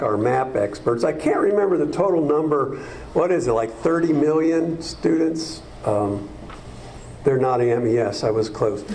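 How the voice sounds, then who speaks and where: Mellow-voiced man presenting district data. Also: medium pitch, slight background noise, low-quality recording. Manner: explanatory, loose, with frequent fillers. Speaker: school district administrator presenting. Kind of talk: presentation to a school board.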